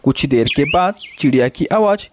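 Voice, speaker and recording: A man's voice: fairly high pitch, animated, moderate pace, background noise. A man narrating a scripted children's cartoon story as the storyteller.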